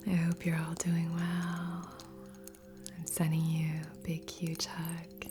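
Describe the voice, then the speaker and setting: Female ASMR Reiki guide: breathy whisper, very level pitch, gentle and unhurried, over clearly audible music. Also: completely flat delivery. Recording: soft music under voice. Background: background music.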